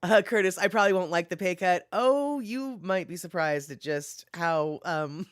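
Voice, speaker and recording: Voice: mid-range voice, expressive, moderate pace, dry recording, clean studio sound. A livestream host chatting casually and reading viewer comments aloud, laughing often and musing while scrolling. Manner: frequent fillers like "let's see here".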